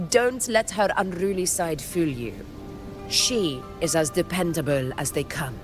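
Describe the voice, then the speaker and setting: Actress as a low, composed, commanding woman. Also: natural voice. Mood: wry, fond. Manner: measured, composed. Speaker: game character. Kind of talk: scripted video game voice acting. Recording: background music.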